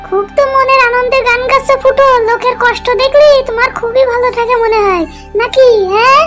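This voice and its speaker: A woman voicing an aggrieved, pleading young village wife in a comedy cartoon, with a pitched-up voice. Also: fairly even intonation, a little fast, over loud music. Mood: accusing. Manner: plaintive, insistent.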